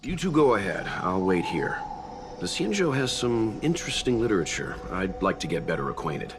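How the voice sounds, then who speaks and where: An actor voicing a calm, reserved young man who speaks in a level, matter-of-fact and dry way, in a video game dub. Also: on the low side, moderate pace. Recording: game cutscene with music behind.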